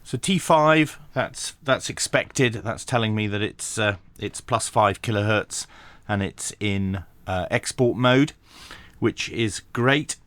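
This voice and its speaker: A man giving a hands-on tech tutorial, talking through each step methodically with pauses and dry asides. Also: lowish voice, fairly bright tone, dry recording. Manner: methodical, thinking aloud with frequent pauses. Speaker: radio reviewer.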